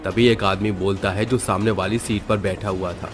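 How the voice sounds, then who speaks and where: A man's voice: on the low side, steady tone, normal speed. A man narrating a scripted horror story as its storyteller. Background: faint background music.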